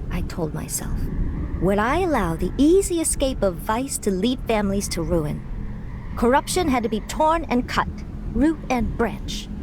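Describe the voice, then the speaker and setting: An actress playing a proud, bitter zealot who leads a crusade, speaking in solemn, formal, righteous phrases in a video game. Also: female voice, mid-range voice, background noise, lightly acted. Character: Annie, bitter proud axe-wielding woman zealot, crusade leader. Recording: music behind the voice.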